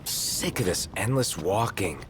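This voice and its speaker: An actor playing a moody, irritable young prince in a video game, terse and exasperated. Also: male voice, expressive, unhurried, background noise. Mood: weary, irritable. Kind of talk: dramatic video game voice acting. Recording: loud rumbling effects under the voice.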